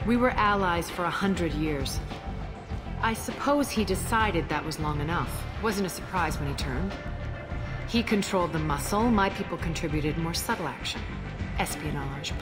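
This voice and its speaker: A cold, cynical alien crime queen, voiced by a woman speaks slowly and with calm menace. Her voice sits a little low. Game score plays underneath. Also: close to an everyday voice. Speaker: ruler of the station. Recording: game cutscene with music behind.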